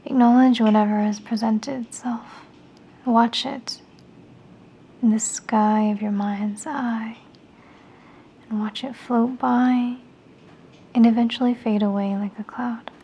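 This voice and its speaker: A woman leading a guided meditation in a soft, soothing, unhurried way, often close to a whisper. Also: fairly high pitch, steady tone, slight background noise.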